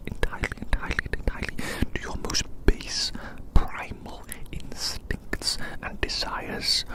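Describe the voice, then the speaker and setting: An ASMR performer delivering a roleplay monologue in a breathy, unvoiced whisper, musing deliberately and repeating phrases. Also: rough recording.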